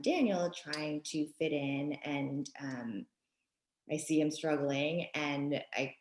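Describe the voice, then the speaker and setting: A middle-aged woman from Japan, talking thoughtfully in an interview with frequent pauses and ums. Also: deep voice. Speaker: guest author and mother. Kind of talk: conversational interview. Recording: echoey room.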